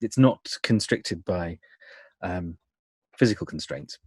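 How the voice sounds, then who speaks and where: A man's voice: low-pitched, lively intonation, slow pace, a little mellow, husky, no echo. A man explaining his directing work in a casual interview, self-deprecating as he goes. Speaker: audio drama writer and director, interview guest. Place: indoors, remote call.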